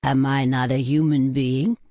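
A man's voice: medium pitch, little pitch movement, unhurried, mellow, no room sound. Guy doing a tipsy cheeky drunk from an old tavern story, argues back, kinda sardonic, dry sound. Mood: indignant. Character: tipsy, cheeky, defiant drunkard man in medieval Konya. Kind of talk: audiobook character acting.

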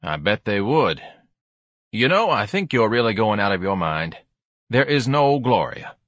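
A man's voice: lowish voice, big pitch swings, unhurried, fairly bright tone, dry recording, full character voice. A man voicing an exasperated middle-aged husband in an audiobook, with a dry, grumbling manner.

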